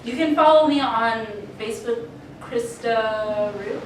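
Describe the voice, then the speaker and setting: A woman's voice: on the high side, measured pace. Woman moderating, heard from far off. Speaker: panel moderator and panelist. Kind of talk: casual panel announcements. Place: large indoor convention panel room. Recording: very echoey, distant, noisy convention room.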